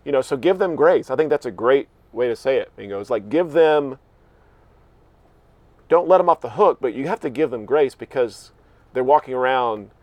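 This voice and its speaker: A man's voice: mid-range voice, lively intonation, normal speed, darkish tone, dry recording, dull audio. Earnest reflective male author guest. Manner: earnest, reflective, self-deprecating humor. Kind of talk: casual interview chat.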